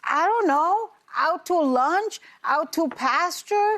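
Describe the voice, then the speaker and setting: A woman's voice: very high-pitched, animated, slow, a bit bright. A woman on a daytime talk show panel, arguing with indignant emphasis. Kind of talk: studio panel discussion.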